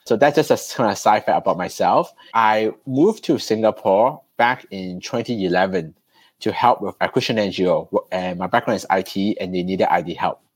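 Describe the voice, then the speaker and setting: A man's voice: low-pitched, normal speed, husky, no room sound. A man, chatting loosely as a podcast guest. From Hong Kong, raised in Canada. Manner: relaxed, loose, with fillers and restarts. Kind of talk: conversational storytelling.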